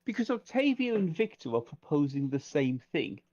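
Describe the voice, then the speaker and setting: A man's voice: on the high side, very animated, normal speed, darkish tone, muffled recording. A man playing a tabletop roleplaying game, thinking aloud and asking questions in casual discussion.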